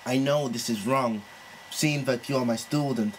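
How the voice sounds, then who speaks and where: Creepy, pleading lecturer, played by a man, halting prank-call delivery, slightly noisy amateur recording. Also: medium pitch, lightly acted. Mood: pleading, smitten. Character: lovesick creepy Italian male university lecturer.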